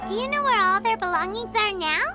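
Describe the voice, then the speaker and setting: A tiny game fairy, super high kiddie voice, bouncy and eager, loud music under it. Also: female voice, lively intonation, brisk. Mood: bright, inquisitive. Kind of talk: video game voice acting.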